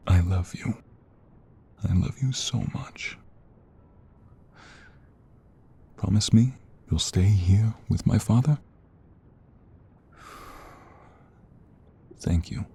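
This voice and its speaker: Man as a low-voiced, protective young mobster. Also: unhurried, dark tone, breathy edge, heavily acted. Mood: tender. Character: protective, devoted young male mobster boyfriend. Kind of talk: roleplay audio drama acting.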